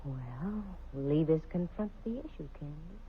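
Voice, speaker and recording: An actress playing a brisk, wry female private eye in 1940s radio style. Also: low voice, animated. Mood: searching. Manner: brisk, wry, hard-boiled. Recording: muffled old radio broadcast with hiss.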